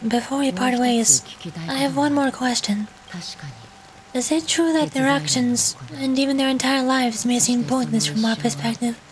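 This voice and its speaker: A woman streamer reading a game's story aloud in a dramatic, deliberate way. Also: high voice, fairly even intonation, crowd noise.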